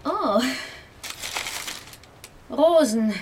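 A woman's voice: high-pitched, animated, drawn-out words, a little mellow, slight background noise, heavily acted. An actress playing a cool, self-assured, flirtatious woman with a mocking manner in a German radio drama. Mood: teasing, probing. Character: cool, teasing seductive woman under police suspicion.

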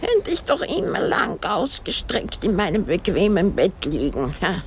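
A wry, complaining old woman in a radio drama. She speaks slowly with a creaky edge and hugely varied pitch, theatrical and morbidly sorry for herself. A faint noise sits behind her. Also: huge pitch swings. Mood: morbid, lamenting. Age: older. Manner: theatrical, lamenting, fussy, drawn-out. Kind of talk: German radio drama acting.

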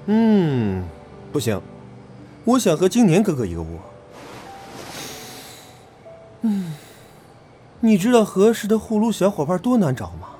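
A man's voice: sits high, very animated, normal speed, pauses often, warm tone, faint music behind. A man playing a teasing, flippant young idol in a Chinese audio drama, talking in playful banter. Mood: bantering, mischievous.

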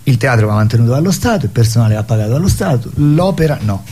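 A man's voice: mid-range voice, lively intonation, fast talker, warm tone, a little hiss or hum. A man being interviewed as a theatre artist, speaking in an assertive, declarative way in conversation.

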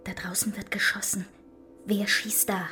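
An actress playing an anxious, shaken small-town woman with a hesitant, breathy manner in a crime radio drama. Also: medium pitch, level tone, measured pace, bright, forceful tone, in a whisper. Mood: frightened.

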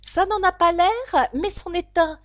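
A woman's voice: extremely high pitch, unhurried, a bit bright, no echo, studio quality, pitched above the performer's own voice. A woman voicing a polite, curious little girl who answers earnestly, for a storybook reading.

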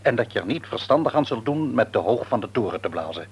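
An actor in a radio drama playing an older father who reasons frankly and gently reproves his grown son. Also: mid-range voice, big pitch swings, quick pace, breathy edge, heavily acted. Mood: reasoning. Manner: reasoning, hesitant at times, frank.

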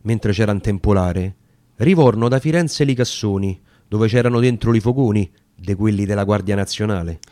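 A man's voice: lowish voice, normal speed, dark tone, no echo. A man with a Roman accent reciting narrative dialect sonnets as dramatic storytelling. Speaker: actor reading poetry.

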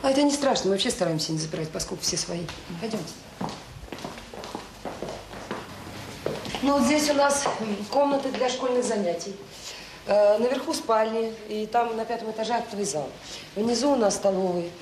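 An actress playing a warm, determined director of a children's home in a radio drama, brisk and plain-spoken with hesitant pauses. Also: female voice, on the high side, close to an everyday voice. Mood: welcoming. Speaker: orphanage director. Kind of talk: naturalistic radio drama acting. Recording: noisy old radio play recording.

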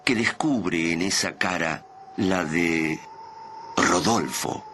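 A man with a deep voice narrating a scripted radio drama in the present tense. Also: slow, sharp tone, a little hiss or hum.

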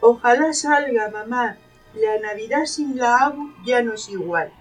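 Woman as high-voiced sad little girl. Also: unhurried, faint music behind, rough recording. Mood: wistful. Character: sad little granddaughter missing her grandmother. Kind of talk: amateur radio play acting.

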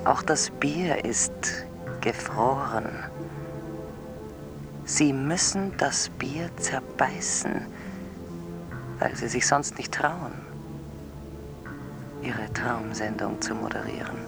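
An actress playing an eerie, insistent woman caller in a surreal radio drama, dreamy and halting, often dropping to a breathy whisper. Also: rumbling low, exaggerated voice. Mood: dreamy, teasing. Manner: slow, halting, hypnotic, repeating herself. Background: music behind the voice.

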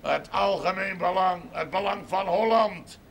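Defiant farmer in a tv drama, loud and sardonic, high gravelly voice, noisy old soundtrack. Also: male voice, a little slow, exaggerated voice. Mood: scornful. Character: defiant, blustering Limburg farmer facing land expropriation. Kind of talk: television drama acting. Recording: noisy 1970s television soundtrack.

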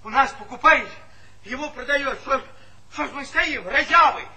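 Old village granddad, very high, husky, swooping, feisty, histrionic, stage comedy. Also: male voice, lively intonation, moderate pace, sharp tone, full character voice, rough recording. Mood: sarcastic, urgent. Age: older. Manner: histrionic, repetitive exclaiming. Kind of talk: Soviet stage comedy acting.

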